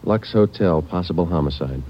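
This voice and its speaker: An actor playing a terse, weary police detective sergeant in a radio drama, speaking in a clipped, understated deadpan. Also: male voice, low-pitched, normal speed, slight background noise. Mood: deadpan, grim. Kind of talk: 1940s radio drama, first-person narration and dialogue. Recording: dull old radio recording, music behind.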